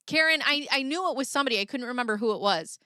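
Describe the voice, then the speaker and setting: A woman's voice: high-pitched, expressive, very fast, sharp tone, no echo, studio quality. A young woman with a Midwestern accent hosting a casual livestream, reading her chat aloud, laughing often and swearing freely. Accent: Midwestern American. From American Midwest.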